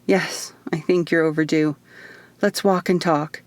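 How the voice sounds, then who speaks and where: Woman as stern male alien guardian, explanatory, firm, slightly low pitch, audiobook dialogue, dry. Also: steady tone, unhurried, dry recording. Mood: calm, urgent. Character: stern, matter-of-fact male alien guardian in human body.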